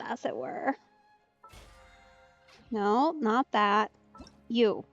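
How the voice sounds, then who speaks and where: Chatty woman streamer, slightly high voice. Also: dry recording, highs cut off. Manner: chatty, giggly. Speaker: game streamer. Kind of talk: casual let's play commentary. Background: game music.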